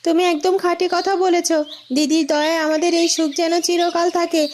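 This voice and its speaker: A woman's voice: extremely high pitch, flat delivery, brisk, a little mellow, slight background noise. A woman playing a humble, grateful village wife in a cartoon, earnest and wide-eyed. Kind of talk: cartoon fairy-tale dialogue. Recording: music and birdsong behind.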